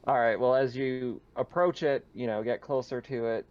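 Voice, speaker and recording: A man narrating a tabletop roleplaying game as game master in a casual, halting way, piecing the scene together as he goes. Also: mid-range voice, fairly even intonation, muffled recording.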